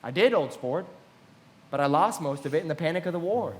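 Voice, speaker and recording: A man playing a wealthy young gentleman in a live radio play, lovestruck and eager to impress. The voice is a little high, with a genteel, theatrical delivery. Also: animated, a little fast, lightly acted. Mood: proud, genteel.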